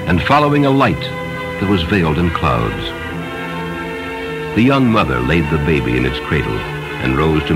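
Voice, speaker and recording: Very low-voiced man narrating a story. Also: rough recording. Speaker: audiobook narrator. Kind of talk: scripted dramatic storytelling narration.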